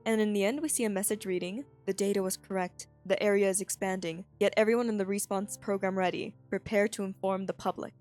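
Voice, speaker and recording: A woman's voice: mid-range voice, steady tone, quick pace, hardly any pauses, darkish tone. Woman narrating over background music. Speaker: video host and narrator. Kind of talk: scripted video essay narration with casual asides.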